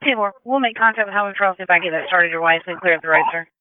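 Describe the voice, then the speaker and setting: A woman's voice: on the low side, rapid-fire, clean tone, dry recording. A woman working as an emergency dispatcher, reading back information in a businesslike way over two-way radio. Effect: two-way radio transmission. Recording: tinny telephone-like radio dispatch channel.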